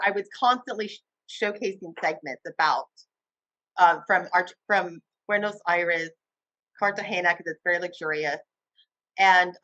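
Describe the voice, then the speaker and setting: A woman television host recounts her career in an interview, speaking with enthusiasm and animated swings in tone. The sound is dry and close to the mic, with no room around it. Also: medium pitch, dry recording, lo-fi sound. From Cuba. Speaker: TV host guest. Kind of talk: interview conversation.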